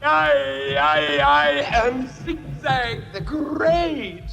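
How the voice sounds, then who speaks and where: A man's voice: very high voice, big pitch swings, gravelly, pushed and bright, faint music behind, exaggerated voice. An actor playing a grandiose, scheming cartoon sorcerer who fawns theatrically as he speaks. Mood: fawning. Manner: theatrical, fawning, drawn-out.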